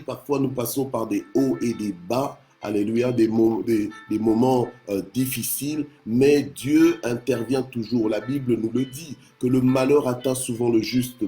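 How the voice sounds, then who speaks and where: Mellow-voiced male preacher from Congo. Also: mid-range voice. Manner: punctuates speech with short exclamations of praise. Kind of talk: devotional sermon on scripture.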